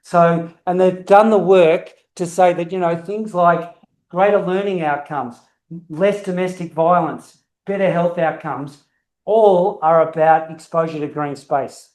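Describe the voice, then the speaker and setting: An older man giving a talk to a club meeting, projecting his booming voice across the room without a working microphone. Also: steady tone, a little slow, a little mellow, reverberant, rough recording. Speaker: guest speaker. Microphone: unreliable handheld mic, then unamplified.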